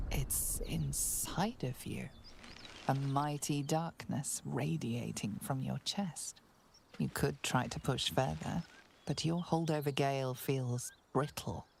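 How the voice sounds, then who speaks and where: A woman narrating a game in a slow, hushed, ominous voice. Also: very deep voice, creaky, background noise, full character voice.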